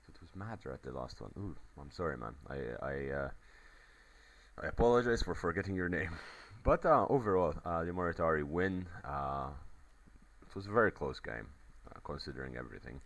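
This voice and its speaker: A man's voice: very deep voice, lively intonation, moderate pace, gravelly, a bit bright. A man giving casual play-by-play commentary on a strategy game replay, his voice a little crackly, rambling with many fillers.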